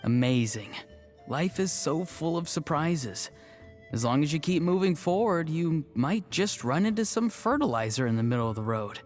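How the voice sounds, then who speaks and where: Man as an earnest, lightly high-voiced young tribesman. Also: lively intonation, normal speed, darkish tone, soft background music, lightly acted. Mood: hopeful. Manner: mild, apologetic, wistful. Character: earnest, good-natured young male tribesman. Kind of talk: animated video game cutscene acting.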